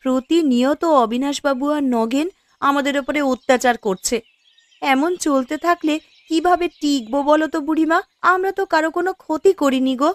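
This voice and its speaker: A woman's voice: sits high, normal speed, dry recording, close to an everyday voice. A woman playing a distressed poor village wife in a cartoon story, speaking in a plaintive, aggrieved manner. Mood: despairing. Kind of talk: Bengali cartoon story acting.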